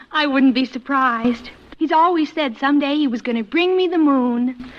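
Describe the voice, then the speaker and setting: An actress playing a sweet, adoring young married niece in a 1950s radio comedy, affectionate and gushing. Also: female voice, sits high, unhurried. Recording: muffled, noisy 1950s radio recording.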